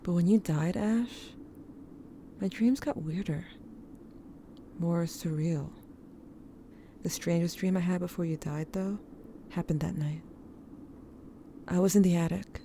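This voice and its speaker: A woman playing a haunted, grieving diarist in an audio drama, narrating in a measured, brooding and intimate way. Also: fairly low pitch, mellow, a little hiss or hum. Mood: uneasy, haunted. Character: haunted, grieving diarist recounting dreams to a lost sibling. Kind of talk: scripted first-person audio drama narration.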